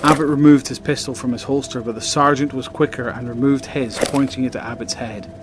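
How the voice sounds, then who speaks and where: A man's voice: mid-range voice, fairly even intonation, fairly quick, noisy background. A man reading scripted narration for an audio drama as its narrator.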